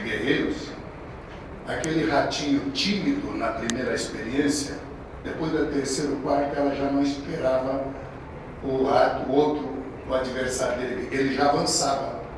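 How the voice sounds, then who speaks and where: A man in his sixties from Brazil preaching a sermon in a conversational, anecdotal way, restarting his phrases often. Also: medium pitch. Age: 60s. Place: large echoey hall. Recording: echoey hall, distant mic, background noise.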